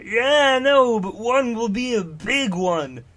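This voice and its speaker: A man voicing a jolly, secretive giant groundskeeper in a hearty, drawn-out way for a comic story reading. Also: extremely high pitch, expressive, fairly bright tone, pitched above the performer's own voice, exaggerated voice. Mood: eager, sheepish. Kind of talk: comedic dramatic reading of fan fiction.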